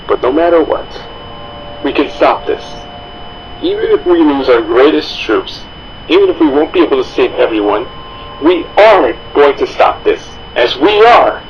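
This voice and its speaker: A man's voice: mid-range voice, natural voice. A man playing a grave government representative giving a solemn, formal emergency address over a muffled, humming broadcast. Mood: resolute. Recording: muffled, noisy radio-style broadcast with hum.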